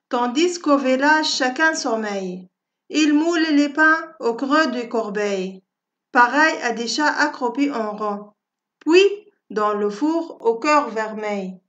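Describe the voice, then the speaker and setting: A woman reciting a poem for schoolchildren in a measured, deliberate, carefully phrased way. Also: fairly high pitch, fairly bright tone. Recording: dull, lo-fi audio.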